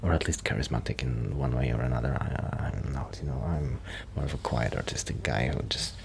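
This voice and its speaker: Male performer as quiet, bashful musician friend for ASMR roleplay: hushed, hesitant, very deep with vocal fry. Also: fairly quick, heavy background noise. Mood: modest, resigned. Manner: hesitant, rambling, self-interrupting. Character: shy, quiet young male musician friend who rambles about music. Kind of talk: soft-spoken ASMR roleplay with whispering.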